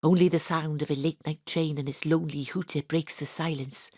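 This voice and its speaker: An actress playing a wry, deadpan, sardonic female private detective in a noir audio drama. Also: low-pitched, fairly quick, no room sound, clean studio sound. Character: hard-boiled wisecracking female private detective, sardonic and impatient. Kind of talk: first-person noir narration in an audio drama.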